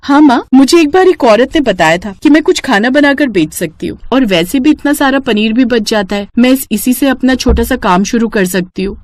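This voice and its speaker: A woman's voice: fairly high pitch, lively intonation, normal speed, few pauses, darkish tone, no room sound, pitched above the performer's own voice, natural voice. A woman voicing a poor, hardworking young woman in a cartoon story, speaking earnestly and respectfully. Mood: hopeful, concerned. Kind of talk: acted dialogue in a Hindi cartoon story.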